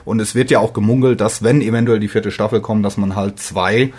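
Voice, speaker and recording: A man's voice: on the low side, flat delivery, fairly quick, darkish tone, husky. A man speaking as a podcast guest in a casual fan discussion, feeling out his phrases and often repeating words.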